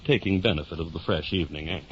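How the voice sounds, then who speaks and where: A man narrating a literary adventure in the theatrical, measured style of 1950s radio drama. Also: low voice, very noisy, close to an everyday voice. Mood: dramatic, measured. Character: storyteller narrating a knight's adventures. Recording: muffled 1950s radio recording. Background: guitar music.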